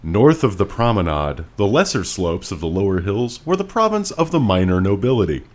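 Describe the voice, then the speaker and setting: A man's voice: lowish voice, big pitch swings, normal speed. A man co-hosting a podcast, moving between casual wry comments about books and dramatic read-alouds. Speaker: podcast co-host.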